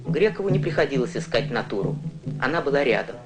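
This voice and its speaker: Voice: mid-range voice, big pitch swings, fast talker. A narrator reading a scripted educational documentary in a storytelling way for young viewers. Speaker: newsreel narrator. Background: prominent music.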